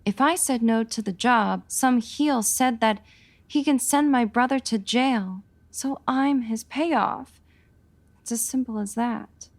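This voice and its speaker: A woman's voice: fairly high pitch, takes it slowly. An actress playing a worried, earnest showgirl in the melodramatic manner of 1940s radio noir.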